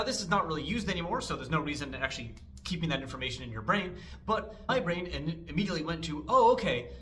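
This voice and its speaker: A man with a bright, forward voice that sits a little high gives a casual explainer lesson. He talks with dry, joking asides. A faint hiss sits under the recording. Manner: wry, joking, lively asides. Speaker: language lesson host.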